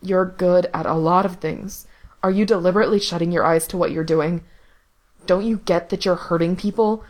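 A woman voicing a guarded young man who speaks flatly and bitterly to his brother. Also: on the low side, normal speed, natural voice. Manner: flat, bitter.

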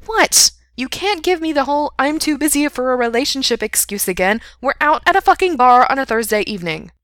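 A woman's voice: high-pitched, animated, brisk, fairly bright tone, dry recording, studio quality, pitched above the performer's own voice. A woman voicing a blunt, pushy young woman in an audiobook, speaking emphatically and with exasperation. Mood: exasperated, pushy.

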